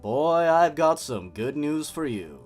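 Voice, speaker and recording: A man who is not a native English speaker, hosting scripted commentary and horror story readings in an expressive way, with sardonic asides. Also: fairly high pitch, slow pace. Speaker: host reading and reviewing horror stories. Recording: music behind the voice. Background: background music.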